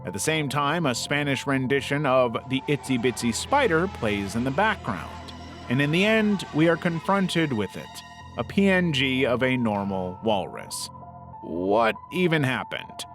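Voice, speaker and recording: Man narrating with lively, swooping pitch. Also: unhurried. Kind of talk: scripted video essay narration. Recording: music under the voice. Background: background music.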